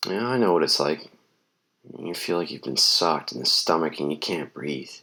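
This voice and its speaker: Man as tender young seaman for audio drama; deep low voice, reassuring and sincere. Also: animated, moderate pace, bright, forceful tone, full character voice. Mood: wistful. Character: gentle young sailor, wounded past, protective sweetheart.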